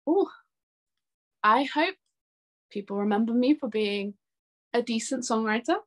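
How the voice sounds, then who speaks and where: High-voiced young woman chatting informally. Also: lively intonation, measured pace. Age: 20s. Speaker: interview guest, singer-songwriter. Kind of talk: casual interview chat.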